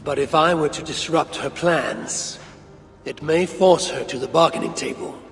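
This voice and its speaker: An actor playing a proud, resolute god in a fantasy video game, speaking in a formal, commanding, measured way. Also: male voice, on the high side, natural voice. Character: proud, resolute god, a father seeking his son. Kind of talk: video game cutscene acting. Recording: long reverb, some background noise.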